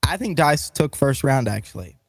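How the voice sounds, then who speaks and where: A man's voice: medium pitch, animated, normal speed, creaky, dry recording, clean studio sound. A man co-hosting a podcast, casually reacting with friends in slangy talk. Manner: slangy, addresses listeners as bro and dude. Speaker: podcast co-host.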